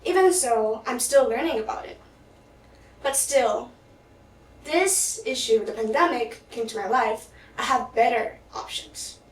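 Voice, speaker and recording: A woman's voice: on the high side, measured pace. A teenage girl from the Philippines gives an earnest prepared speech for a contest, stumbling now and then and restarting words. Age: teens. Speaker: student contestant. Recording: echoey hall, mic at a distance.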